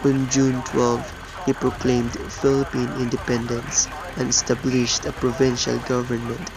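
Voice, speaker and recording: A man reading scripted historical documentary narration in a measured, formal manner. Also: mid-range voice, completely flat delivery, poor audio.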